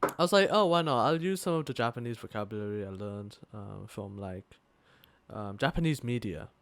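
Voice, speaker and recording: A man's voice: fairly low pitch, lively intonation, moderate pace, fairly bright tone, no room sound. A young man co-hosting a casual podcast, telling a story with lots of fillers, restarts and swearing. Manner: rambling, swears often, many fillers and restarts. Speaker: podcast co-host. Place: living in Thailand, attends school there.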